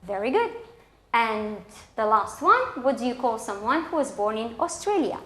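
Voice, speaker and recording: A woman's voice: a little high, big pitch swings, normal speed, a bit bright. A woman with a Romanian accent presenting a scripted English lesson for schoolchildren, enunciating each example in a didactic way. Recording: echoey, roomy sound.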